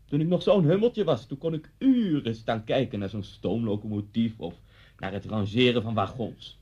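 A man's voice: medium pitch, expressive, moderate pace, a little mellow, husky. An actor in a Dutch radio play, playing a talkative, coolly unsettling policeman who speaks politely and insinuatingly. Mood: nostalgic chatty. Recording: dull, muffled old radio recording.

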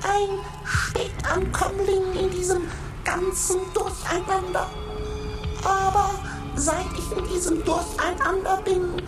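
A musing, high-voiced talking stone. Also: female voice, little pitch movement. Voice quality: very high, slow and deliberate. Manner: measured, musing. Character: talking stone, new to the world, thoughtful. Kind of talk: radio drama acting. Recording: roomy studio with music underneath.

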